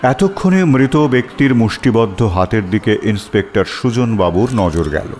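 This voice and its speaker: A man narrating a scripted detective story as an expressive, descriptive storyteller. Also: on the low side, moderate pace, a little hiss or hum.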